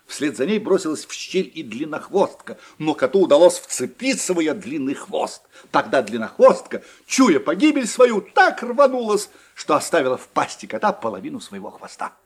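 A man narrating a folk tale in a husky, expressive storytelling manner. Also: fairly high pitch, normal speed, hardly any pauses.

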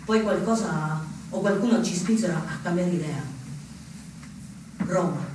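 A man acting a young anarchist recounting his fate in a theatrical stage monologue, with deliberate phrases and dramatic pauses. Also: high-pitched. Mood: solemn, declarative. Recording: very echoey, distant stage recording with noise.

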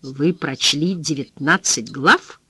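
Narrator doing a confused polite publisher guy, soft and apologetic, dry close sound. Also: mid-range voice, takes it slowly, slightly rough, no room sound, full character voice. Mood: flustered. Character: man, flustered, polite book publisher.

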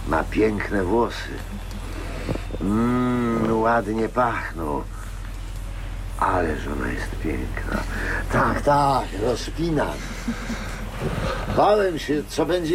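An actor playing a vengeful father in a radio drama, taunting another man with slow, savouring menace. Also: fairly low pitch, background noise. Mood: leering, savouring.

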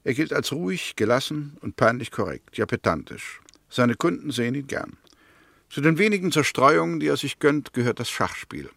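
A probing, sceptical Englishman in a 1960s German radio play. His medium-pitched voice has a creaky edge and sits in a dry studio. He lays out his points deliberately and precisely, with insistence. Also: lively intonation, a bit bright, dry recording. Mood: measured, descriptive. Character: Mr. Hämmerte, probing, sceptical Englishman arguing a murder case.

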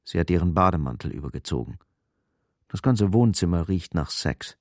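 A man's voice: sits low, lively intonation, normal speed, a little mellow, no room sound. A man narrating a radio drama soberly, restrained and matter-of-fact. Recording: roomless dry studio, faint music behind. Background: faint music.

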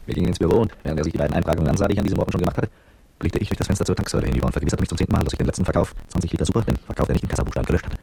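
Casting: brooding male narrator, former soldier, radio drama. Deep, gravelly, terse, reflective. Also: rapid-fire, warm tone, a little hiss or hum. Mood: wry, watchful. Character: brooding petrol-station attendant and former soldier, recalling events. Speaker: narrator and protagonist. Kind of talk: literary first-person radio drama narration.

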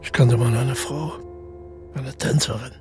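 An actor playing a brooding, haunted man who tells his past in a halting, intimate audio drama monologue with a husky, gravelly voice. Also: mid-range voice, fairly even intonation, over loud music, close to an everyday voice. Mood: tender, wistful. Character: brooding, haunted male first-person narrator recalling the past.

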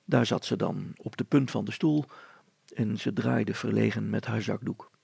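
Male storyteller: gravelly, husky voice, dry close sound, narrating a tale. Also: on the low side, fairly even intonation, normal speed, darkish tone, dry recording.

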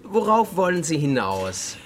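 A slightly high-voiced evasive businessman in a radio drama. He is fussy and edgy, halting and defensive in his talk. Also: very animated, crowd noise. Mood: fussy, guarded.

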